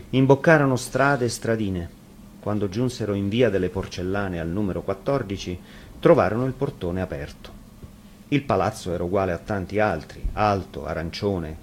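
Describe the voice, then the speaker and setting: A man with a fairly low voice tells a fairy tale from a script. He reads as a storyteller would on the radio. There is slight noise under the recording. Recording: faint noise, live radio broadcast.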